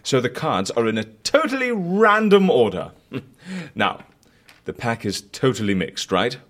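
An actor playing an eccentric, excitable and easily flustered boss in an audio comedy, with a theatrical, bumbling manner. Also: male voice, mid-range voice, unhurried. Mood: eager, showy. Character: excitable, eccentric boss eager to show off magic tricks. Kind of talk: audio fiction comedy acting.

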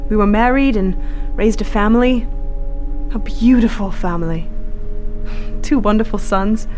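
Woman acting a taunting high-voiced witch, music behind. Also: a little mellow. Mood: wistful, bitter. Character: gleeful murderous witch, centuries old, coldly taunting. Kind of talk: radio theatre acting.

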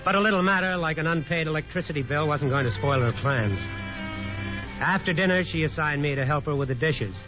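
An actor playing a sardonic young son and narrator with a dry, wry manner in a 1950s radio drama. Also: male voice, on the high side, a little fast, music in the background, natural voice. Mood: wry narration. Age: young adult. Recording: muffled vintage 1950s radio broadcast.

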